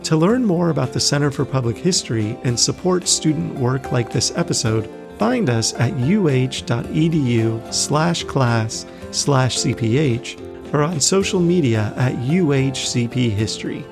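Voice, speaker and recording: A man reading the scripted closing announcement of a podcast in a clear, deliberate way. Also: medium pitch, expressive. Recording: voice over plucked guitar music.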